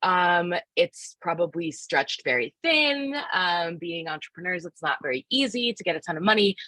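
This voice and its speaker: Upbeat woman, low and bright. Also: animated, moderate pace, no echo. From Philadelphia, United States. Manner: upbeat, encouraging, invites the audience in, with many fillers. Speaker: workshop presenter and facilitator. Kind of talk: live online workshop presentation. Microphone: video call microphone.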